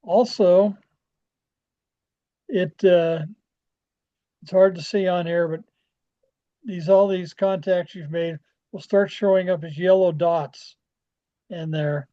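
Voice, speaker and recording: Slow-talking American man, dull lo-fi sound. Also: sits high, steady tone. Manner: halting, trailing off, rambling. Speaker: presenter training radio club members. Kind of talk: informal software walkthrough. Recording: dull, lo-fi screen-share audio.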